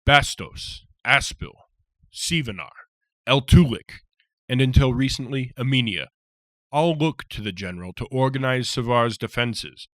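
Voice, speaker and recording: A man's voice: medium pitch, unhurried, fairly bright tone, no echo, voice pushed up, natural voice. Man doing a hearty giant soldier. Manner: hearty, rough folksy speech. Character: giant jovial male soldier, gentle and talkative. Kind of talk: audiobook character voice.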